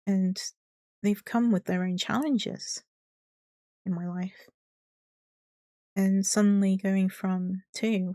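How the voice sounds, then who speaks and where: A woman's voice: lowish voice, mellow, dry recording, clean studio sound. A woman giving an unscripted personal update to her followers in a candid, rambling, hesitant way. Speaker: streamer and video creator.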